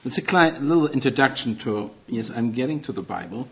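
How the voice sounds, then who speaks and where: A man's voice: medium pitch, animated, fairly quick, gravelly, fairly bright tone, lo-fi sound. A middle-aged man with a German accent preaching a sermon as a guest, earnest and self-deprecating, with non-native phrasing.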